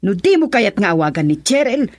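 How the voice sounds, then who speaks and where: A woman's voice: medium pitch, very animated, fairly quick, no room sound, clean studio sound. A Filipino woman playing a stern, controlling middle-aged mother in a radio drama. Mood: insistent.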